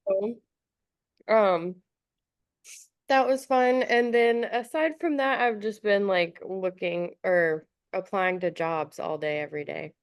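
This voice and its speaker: A woman's voice: medium pitch, takes it slowly, clean tone, no room sound, studio quality. A woman chatting casually as a podcast co-host, chatty and giggly, with a slightly stuffy nose. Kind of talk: casual chat.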